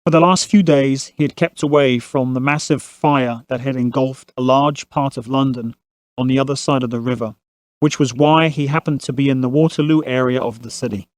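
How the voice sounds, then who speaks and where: A man's voice: medium pitch, fairly even intonation, moderate pace, no echo. A man narrating a family saga as a scripted audio drama storyteller.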